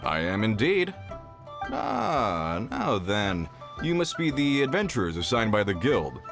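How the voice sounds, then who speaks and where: Folksy uncle type mine boss in a game, really animated, faint music under. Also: male voice, fairly low pitch, measured pace, creaky, heavily acted. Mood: genial. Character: hearty, shrewd middle-aged male mining foreman. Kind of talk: video game voice acting.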